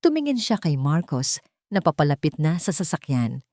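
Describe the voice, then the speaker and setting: A woman's voice: sits low, sing-song, moderate pace, darkish tone, no echo, studio quality. A woman with a Filipino accent narrating a dramatic scripted story. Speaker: narrator of love stories.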